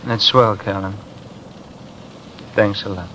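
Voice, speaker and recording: An actor playing a numb, bitter, grieving man in a halting, brooding 1950s radio drama style. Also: on the low side, fairly even intonation, fairly bright tone, a little hiss or hum, low-quality recording. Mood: flatly bitter.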